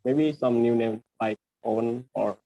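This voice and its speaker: A man's voice: mid-range voice, a little slow. A nineteen-year-old male student making informal introductions in an online class over a dull video call. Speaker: university student.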